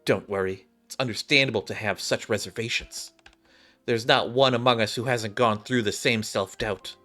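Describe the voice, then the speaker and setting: A man voicing a calm, commanding female squad leader from a video game, stern yet reassuring, in measured formal speech. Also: fairly low pitch, faint music behind.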